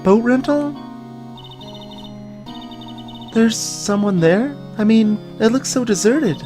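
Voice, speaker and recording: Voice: medium pitch, lively intonation, unhurried, dark tone, soft background music, voice pushed up, lightly acted. A let's play host voicing a perky, eager teenage girl from a mystery game. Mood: surprised.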